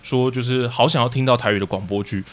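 A man's voice: medium pitch, fairly even intonation, quick pace. A man with a Taiwanese accent, a podcast guest recounting his experiences in a casual conversational style. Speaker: guest, leader of an audio drama troupe.

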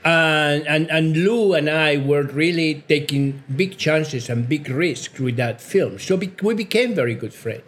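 Older male interview guest, Spanish speaker. Slightly high voice, emphatic and effusive, repeats himself as he talks. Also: a little slow, hardly any pauses, a bit bright. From Mexico. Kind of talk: conversational interview answers.

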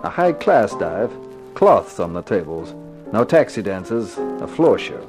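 1950s radio gumshoe: low register, terse, cool, wry slang, music underneath. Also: male voice, very animated, measured pace. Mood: moody narration. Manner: terse, wry, slangy tough-guy talk. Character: hardboiled male private eye, cool, wisecracking, unafraid. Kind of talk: 1950s radio detective drama acting and narration.

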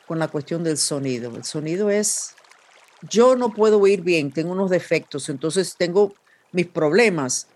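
A woman's voice: low voice, darkish tone. Podcast host woman. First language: English. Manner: rambling, meandering with restarts and fillers. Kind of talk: casual podcast conversation.

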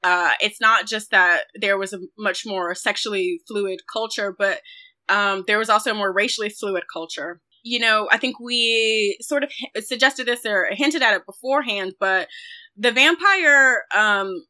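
Very bright-voiced woman discussing film. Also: medium pitch, moderate pace. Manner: conversational, emphatic, uses like and you know often. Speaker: film podcast co-host.

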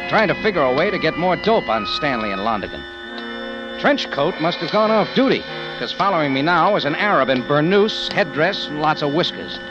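An actor playing a tough, wisecracking American private eye in 1940s radio detective style, sardonic and slangy, both in dialogue and narration. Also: male voice, a little high. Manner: hard-boiled, sardonic, slangy. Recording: muffled old radio drama, music behind.

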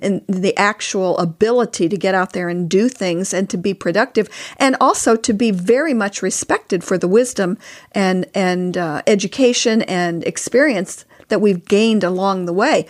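Earnest older woman in conversation. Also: mid-range voice. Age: older, past sixty-four. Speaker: podcast guest, author and co-host spouse. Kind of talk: casual advice conversation.

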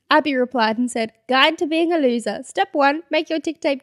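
A young woman with a slightly high voice laughs her way through a casual podcast chat, reading out mean comments with mock voices. Her talk is loose and playful. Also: moderate pace. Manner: giggly, reads comments aloud with mock voices. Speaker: podcast co-host.

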